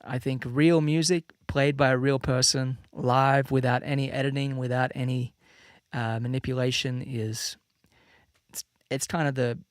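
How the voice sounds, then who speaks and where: A man's voice: mid-range voice, moderate pace, dry recording, studio quality. A man in his thirties from Australia, with an accent between Australian and American, chatting casually and loosely to livestream viewers as a musician. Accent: mixed Australian-American. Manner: relaxed, rambling, many fillers and restarts. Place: home room with a slight added reverb.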